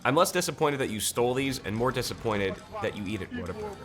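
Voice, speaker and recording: A man's voice: medium pitch, big pitch swings, fast talker, fairly bright tone. An American man in his thirties giving casual, joking, conversational commentary as a tech video host. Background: background music.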